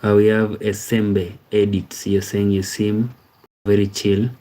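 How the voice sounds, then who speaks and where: Man, Kenyan accent, live-stream host, low mellow voice, even delivery, reads chat aloud. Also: a little slow. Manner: reads viewer comments aloud and thanks them by name; easygoing, repeats words like "amazing, amazing". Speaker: livestream host.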